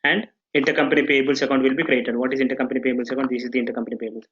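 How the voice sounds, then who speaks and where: A man walks a class through software configuration, stopping often to check they follow with an "okay". His pitch sits in an ordinary middle range. The recording sounds muffled and lo-fi. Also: little pitch movement, rapid-fire, a little hiss or hum. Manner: explanatory, full of "okay" checks and restarts. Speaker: software trainer. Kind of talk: live online training session.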